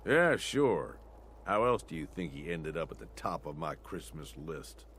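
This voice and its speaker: An actor playing a rough, scheming male raider lieutenant with a streetwise, persuasive way of talking. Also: a bass voice, sing-song, measured pace, fairly bright tone, a little hiss or hum, exaggerated voice.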